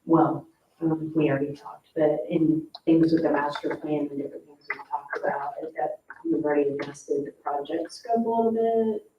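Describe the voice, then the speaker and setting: A woman's voice: sits low, fairly quick, lo-fi sound. Woman at a board meeting, informal n tentative, echoey, mic is a ways off. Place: indoor town board meeting room. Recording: echoey room, distant mic, faint noise.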